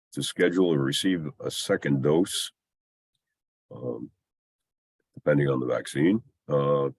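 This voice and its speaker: A man with an extremely low, husky voice gives a technical slide talk. His throat sounds scratchy, as if he is a little unwell. He explains things haltingly, pausing often to find the next term, and the sound is dry and close. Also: no room sound. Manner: halting, hesitant delivery with long pauses. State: scratchy voice from a mild illness. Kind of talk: slide presentation, explanatory lecture.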